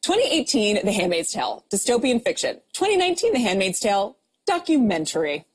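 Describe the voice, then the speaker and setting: Casual woman interviewer with medium pitch. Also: big pitch swings, a little fast, breathy edge. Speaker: talk show interviewer.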